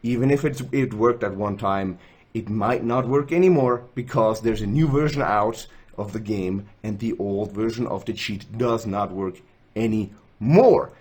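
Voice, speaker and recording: A man's voice: fairly low pitch, unhurried, darkish tone, slightly rough. A young man from Switzerland with a Swiss accent, hosting a chatty screen-recorded tutorial from a small room, joking at himself as he explains. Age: young adult. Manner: chatty, self-joking, gestures while explaining. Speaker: gaming tools channel host. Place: indoors, small room, gaming chair.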